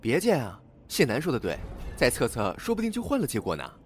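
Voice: medium pitch, huge pitch swings, brisk, darkish tone, slight background noise. An actor playing a gossipy, easily spooked office colleague in an audio drama. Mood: coaxing. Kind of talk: Chinese audio drama acting.

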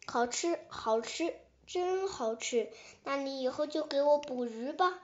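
A woman voicing a big, hungry male tyrannosaurus in a storybook, blustering and halting, gruff but softening. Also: high voice, lively intonation, lo-fi sound. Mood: pleased.

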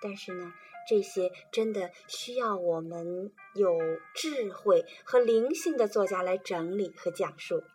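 A woman presenting and reading children's poetry in a calm, gentle storytelling manner. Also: medium pitch, unhurried, few pauses, soft background music. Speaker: reader and presenter.

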